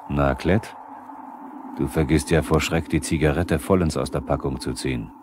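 A probing male narrator in a 1970s East German radio drama. His voice is extremely deep, and he speaks straight to the characters. The old record adds light noise. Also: fairly even intonation, normal speed. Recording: noisy 1970s vinyl radio play.

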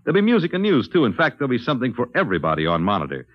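A man's voice: medium pitch, huge pitch swings, very fast, dry recording. A man announcing upcoming programs and promos on a 1950s radio broadcast. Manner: smooth, persuasive promo delivery. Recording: dry, dull old radio recording.

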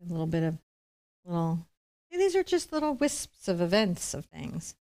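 A woman's voice: fairly low pitch, very animated, normal speed, darkish tone. A middle-aged woman giving a conversational step-by-step painting lesson, chatty and explanatory with reassuring asides. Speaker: painting instructor, host.

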